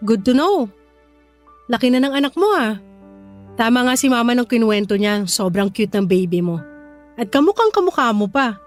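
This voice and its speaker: Filipino radio drama: young woman, guarded with an old flame, high expressive voice, music underneath actress. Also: normal speed, pauses often, lightly acted. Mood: casual, teasing.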